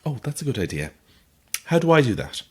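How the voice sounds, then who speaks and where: A man's voice: mid-range voice, sing-song, brisk, a little mellow, husky. A man playing a naive, eager, amiable amateur actor in an audio drama, guileless and enthusiastic. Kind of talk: audio horror-comedy drama acting.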